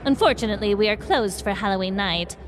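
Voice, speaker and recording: A woman voicing a sly, ominous old witch shopkeeper in a scripted Halloween podcast skit, theatrical and drawn-out. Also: medium pitch, slight background noise, natural voice. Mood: brisk, surprised.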